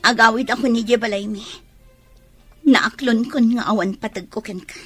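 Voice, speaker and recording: An actress playing a heartbroken, regretful young daughter in a Filipino radio drama, with an Ilocano accent and a husky voice. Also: female voice, fairly high pitch, steady tone, moderate pace, fairly bright tone, faint music behind, close to an everyday voice. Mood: resolute, hurt.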